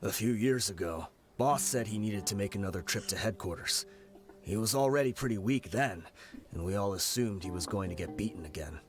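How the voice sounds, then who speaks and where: A man acts a veteran desert mercenary leader in a video game. He is grave and burdened by guilt, speaking solemnly in a low register with music clearly behind him. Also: animated, brisk, close to an everyday voice. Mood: somber. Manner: solemn storytelling.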